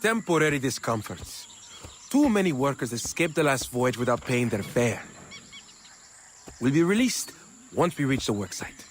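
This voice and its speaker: A man's voice: medium pitch, sing-song, moderate pace, long pauses, slight background noise, lightly acted. A man playing a stoic, defiant male labourer longing for freedom in a video game, earnest and emphatic. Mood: stubborn, resigned. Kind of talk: video game character acting.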